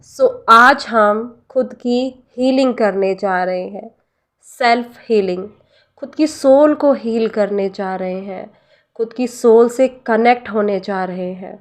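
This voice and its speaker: An Indian woman leading a guided meditation and prayer, speaking gently and deliberately with pauses and repeated phrases. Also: a little high. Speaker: guided meditation leader, life coach.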